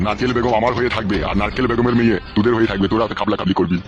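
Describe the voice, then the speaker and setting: A man's voice: lowish voice, fairly even intonation, very fast, dull audio, natural voice. A man voicing a bullying, scheming local politician in a comic cartoon, scolding and interrogating coarsely. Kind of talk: comic cartoon dialogue in colloquial rural Bengali.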